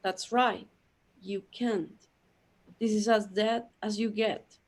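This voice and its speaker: A woman playing an old, outwardly calm companion in a radio play, measured and matter-of-fact. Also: medium pitch.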